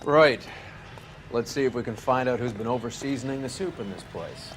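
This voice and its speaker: A sneering, wisecracking 1940s detective with a mocking edge, as an actor plays him. His pitch is ordinary. A music score plays clearly under him. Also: normal speed, lightly acted. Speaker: police detective partner.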